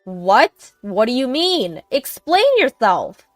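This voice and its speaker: Woman acting out a shrill, defiant, frantic mother. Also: big pitch swings, slow, no echo, faint music behind. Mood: angry, desperate. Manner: shrill, shouting, indignant. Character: defiant, possessive, frantic young mother, villain of tale.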